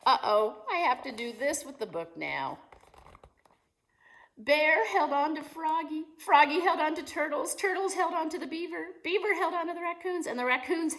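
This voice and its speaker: A woman teacher reading a picture book aloud to children in an expressive, animated way, asking her young listeners questions. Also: high-pitched. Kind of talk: animated children's story time read-aloud.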